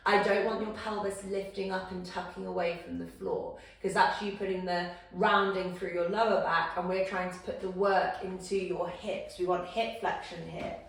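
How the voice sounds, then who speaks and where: Woman, fitness instructor, calm cueing, slight fry, recorded off-mic in a very echoey room. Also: medium pitch, recorded from a distance. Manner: calm step-by-step cueing, counting down. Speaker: posture instructor. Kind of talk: guided exercise instruction.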